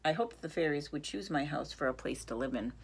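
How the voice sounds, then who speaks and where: A woman's voice: sits low, animated, brisk, creaky, husky. A woman reading a picture book aloud expressively, now and then speaking to young listeners. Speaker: reader.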